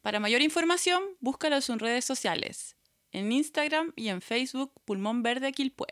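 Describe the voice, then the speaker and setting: A woman's voice: high-pitched, animated, normal speed, a bit bright, no echo, studio quality. A Chilean woman hosting a podcast, reading community notices with informal, familiar phrasing. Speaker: podcast host. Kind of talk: scripted community announcements. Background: background music.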